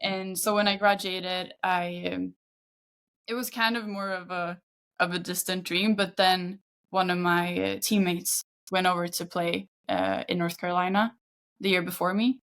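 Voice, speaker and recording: A woman's voice: fairly low pitch, little pitch movement, a little slow, fairly bright tone. A woman in her thirties from Sweden with a Swedish accent, chatting casually as a guest in an interview. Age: 30s. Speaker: podcast guest, football agent.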